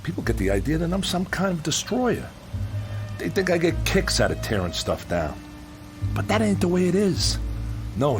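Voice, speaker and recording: Male actor as gruff kindly contractor, American accent, animated pitch, colloquial, earnest, insistent, radio drama, faint music behind. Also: lightly acted. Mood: pleading, reasoning. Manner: colloquial, ungrammatical working-man speech, earnest and insistent. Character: gruff working-class demolition contractor, blunt but kind-hearted.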